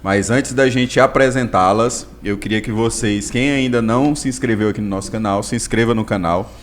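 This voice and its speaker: A Brazilian man hosting a podcast, joking and relaxed as he opens the episode. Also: on the low side, fairly quick. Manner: playful, joking. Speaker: podcast host. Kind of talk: casual podcast chat.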